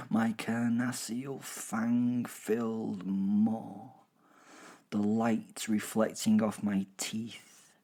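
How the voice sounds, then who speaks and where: Man playing a husky, chatty werewolf killer. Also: lowish voice, darkish tone. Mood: menacing, relishing. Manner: chatty, digressive, self-interrupting, darkly jokey. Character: gleeful, boastful male werewolf killer recounting his hunt. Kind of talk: first-person horror comedy audio drama narration.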